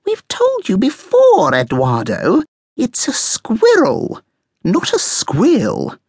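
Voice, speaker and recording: A narrator voicing a bossy, impatient female guinea pig in a children's story, sharp and scolding. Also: sits high, huge pitch swings, slow, dry recording, studio quality, pitched above the performer's own voice, heavily acted. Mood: exasperated.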